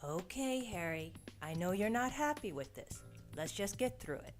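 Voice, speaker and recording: A woman's voice: medium pitch, expressive, moderate pace, natural voice. A woman playing a practical, caring middle-aged investigator in an audio drama, talking in a plain, conversational way. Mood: businesslike. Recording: music behind the voice.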